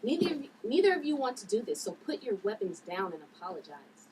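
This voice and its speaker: A woman playing a calm, commanding young heroine with a firm, admonishing manner at a script read. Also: mid-range voice, fast talker. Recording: distant mic with clear background noise.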